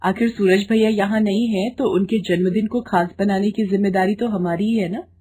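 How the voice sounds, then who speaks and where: Woman as a gentle, dutiful young daughter-in-law, mellow. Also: medium pitch, fairly even intonation, moderate pace, lightly acted. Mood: cheerful, dutiful. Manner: gentle, soothing, respectful.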